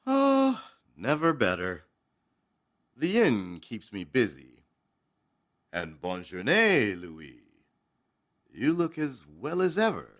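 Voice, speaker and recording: A sly, swooping oily innkeeper. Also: male voice, mid-range voice, wildly swooping pitch, a bit bright, full character voice. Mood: falsely friendly. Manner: insinuating, drawn-out mock courtesy. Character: sly, oily innkeeper neighbour, falsely friendly, grasping man. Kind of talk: dramatic audio drama acting.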